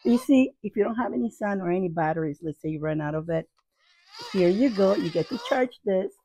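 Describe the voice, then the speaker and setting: A woman's voice: medium pitch, lively intonation, brisk, creaky, dark tone, slight background noise. A middle-aged woman giving a casual, chatty product review in a playful, affectionate manner, often repeating her phrases. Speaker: video host reviewing products. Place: small cluttered room indoors.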